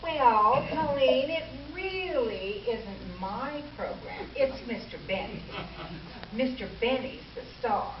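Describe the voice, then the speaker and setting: Poised female radio star played by an actress, slightly high voice, vintage comedy delivery, echoey noisy hall. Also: animated, slow pace, a crowd in the background. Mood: poised, dry. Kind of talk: 1940s radio comedy acting. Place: live stage show before an audience. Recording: echoey stage recording, distant, noisy, dull.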